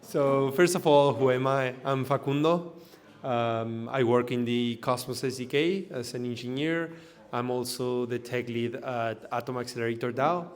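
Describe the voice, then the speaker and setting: A man's voice: mid-range voice, normal speed. Man giving a talk in an echoey hall. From Argentina. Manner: loose, filler-heavy explaining with many restarts. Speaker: conference speaker, blockchain engineer. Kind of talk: technical conference talk. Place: event hall in front of an audience.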